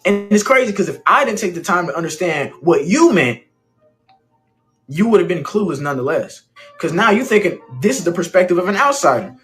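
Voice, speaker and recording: A man from the Mississippi Delta speaks in a measured, articulate way, asking pointed questions in a clip from social media. His voice sits a little high, with faint music playing underneath. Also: very animated, fairly bright tone.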